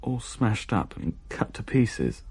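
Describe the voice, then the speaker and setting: Deep-voiced, haunted man telling a ghost story. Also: big pitch swings, takes it slowly, vocal fry, heavy background noise, full character voice. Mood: horrified, haunted. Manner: hesitant, haunted, dramatic storytelling. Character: shaken man recounting a horrifying ghostly vision. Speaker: narrator and character in radio play. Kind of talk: dramatic radio play narration.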